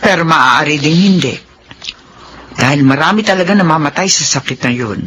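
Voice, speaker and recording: A wealthy, middle-aged Filipino mother in radio melodrama. She is controlling and persuasive, with a lively, swooping pitch and deliberate, imploring phrasing. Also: a little hiss or hum. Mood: worried, persuasive.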